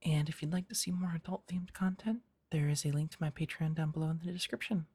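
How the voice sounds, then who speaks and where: A woman speaking softly and casually as an audio roleplay creator, addressing listeners in a channel outro. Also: sits low, fairly even intonation, fast talker, slightly rough. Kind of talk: soft-spoken channel outro.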